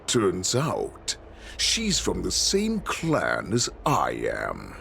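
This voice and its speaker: An actor playing a gloating wolf-man warlord in a game cutscene, slow and mocking, with a deep gravelly voice. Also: huge pitch swings, fairly bright tone, a little hiss or hum, heavily acted. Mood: taunting. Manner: slow, taunting, with savage laughter. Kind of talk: video game cutscene acting. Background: faint game score.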